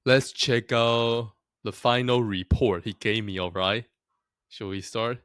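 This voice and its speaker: A man's voice: medium pitch, steady tone, takes it slowly, fairly bright tone, clean tone, dry recording. A young man from Taiwan talking casually to his viewers, chatty and self-deprecating, often laughing at himself. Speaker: vlogger. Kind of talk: casual talk to camera.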